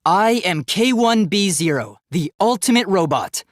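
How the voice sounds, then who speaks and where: High, animated young robot boy. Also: a little slow, no room sound, clean studio sound. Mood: polite, composed. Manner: formal, precise diction, emphatic. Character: earnest, polite, easily offended young male robot student. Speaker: video game voice actor. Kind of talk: dramatic anime game voice lines.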